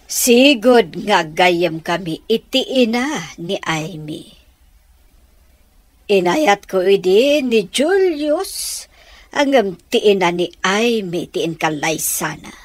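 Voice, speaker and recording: A woman's voice: mid-range voice, very animated, takes it slowly, no room sound. A woman playing a scheming, manipulative middle-aged mother in a radio drama, drawling insinuatingly with an Ilocano accent. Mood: smug, scheming.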